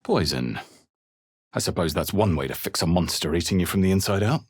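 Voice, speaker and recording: A deep-voiced, grave male adventurer from a fantasy game. His husky tone carries measured, intense dramatic lines with a brooding seriousness. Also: animated, no room sound, clean studio sound. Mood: terse, wary.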